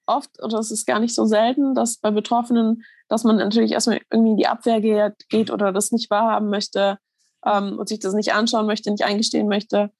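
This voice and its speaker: Woman, slightly hoarse, earnest, filler-heavy, dry close sound. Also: medium pitch, little pitch movement, fairly quick, a little mellow, no room sound. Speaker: musician guest. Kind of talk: casual interview talk.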